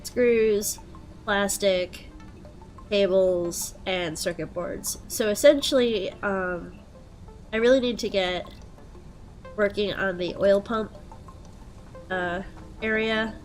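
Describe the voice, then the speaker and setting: A young woman with a head cold and a stuffed-up nose, chatting casually through gameplay and thinking out loud with plenty of ums. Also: medium pitch, takes it slowly, long pauses, soft background music. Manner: relaxed, thinking aloud with frequent ums. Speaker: let's play host.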